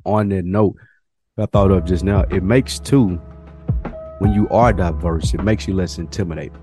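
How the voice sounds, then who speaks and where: A man's voice: deep voice, normal speed, music in the background. A man co-hosting a podcast, telling stories in a casual way and imitating other people's voices. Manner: storytelling, mimics other people. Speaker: podcast co-host. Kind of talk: casual chat.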